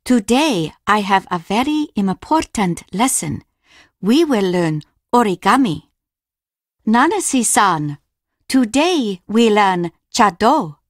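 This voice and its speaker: Slow, formal Japanese innkeeper woman. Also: mid-range voice, no room sound, studio quality. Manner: formal, measured, gently instructive. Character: polite, solemn Japanese innkeeper woman teaching traditional arts. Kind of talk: scripted video game dialogue.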